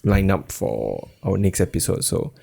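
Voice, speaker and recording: Young Singaporean male host, low-pitched, low-key and self-mocking delivery. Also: big pitch swings, a little slow, gravelly, dark tone, breathy edge. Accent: Singaporean English. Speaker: podcast host. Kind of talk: casual chat.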